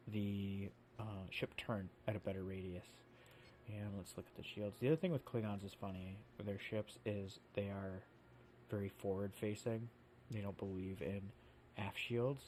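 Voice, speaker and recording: Sniffly guy with a lowish mellow voice chatting through a game, lots of ums, restarts himself a lot. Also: slight background noise. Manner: rambling, self-correcting, lots of fillers. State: sniffly from allergies. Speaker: let's play host. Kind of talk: casual gameplay commentary.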